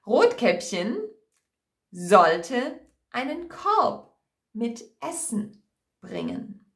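A young woman teacher telling a story for language learners, deliberate and expressive, in a small room at home. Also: fairly high pitch. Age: young adult. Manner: deliberate, clearly expressive, repeats simply. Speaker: German teacher. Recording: roomy indoor echo.

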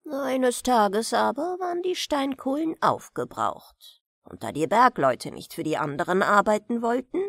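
A woman's voice: medium pitch, no echo. A woman narrates a bedtime fairy tale with a widely swinging melody in her voice. She takes her time over each phrase, telling it expressively. The recording is dry and close, with no room sound. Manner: expressive, unhurried storytelling. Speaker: audiobook reader of fairy tales.

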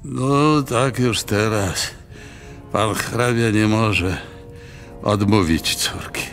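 A wounded old major in a radio play, with music underneath. He drags out his words very slowly in a low, halting, pleading voice, proud to the last. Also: a bit bright, exaggerated voice. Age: older. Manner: halting, broken phrases, pleading. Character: dying bloodied grey-haired major, pleading, proud. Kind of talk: radio drama acting. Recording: music behind the voice.

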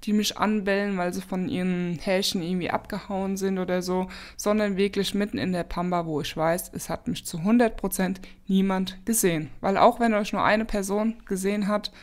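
A woman's voice: mid-range voice, fairly even intonation, moderate pace, hardly any pauses. Chatty woman with a slight cold. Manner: chatty, many fillers and restarts. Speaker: podcast host. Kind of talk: casual advice talk.

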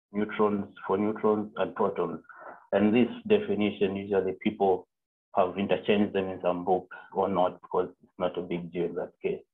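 Young man from Zambia, low husky voice, halting online lecture, tinny call audio. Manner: halting, many fillers and self-corrections. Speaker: physics PhD student presenting a seminar. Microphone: video call. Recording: narrow phone-like call audio.

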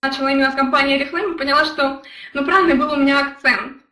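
Brief: young woman, high bright voice, earnest storyteller, roomy echo, a bit off-mic. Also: steady tone, racing, distant mic. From Ukraine. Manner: earnest, storytelling, addresses chat viewers directly. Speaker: network marketing leader. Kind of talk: motivational live stream talk.

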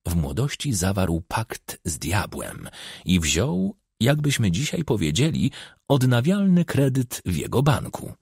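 A man narrates an audiobook crime story. His voice is low and husky, with pitch that moves freely through measured, expressive phrases. The sound is close and dry. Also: dry recording. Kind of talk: scripted narration of a crime novel.

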